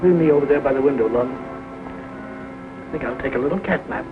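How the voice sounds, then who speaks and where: An actor playing a kindly, weary small-town doctor with a gentle, fatherly manner in a 1940s film. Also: mid-range voice, fast, close to an everyday voice. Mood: tired, tender. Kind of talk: 1940s film drama acting. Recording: tinny 1940s film soundtrack, music behind.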